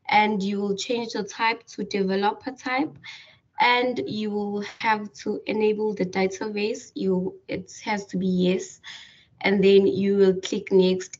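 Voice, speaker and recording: A woman walking through a software tutorial over a screen recording, hesitant with many fillers and restarts. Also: medium pitch. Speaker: tutorial presenter. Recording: distant, dull lo-fi mic.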